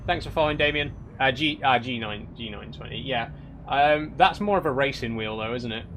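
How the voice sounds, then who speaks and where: A man's voice: mid-range voice, fairly quick, fairly bright tone, a little hiss or hum. A young man from Yorkshire with a Yorkshire accent, chatting casually on a live stream as he reads out viewer comments, with many restarts. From Yorkshire, England. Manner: chatty, with frequent restarts and light wry teasing.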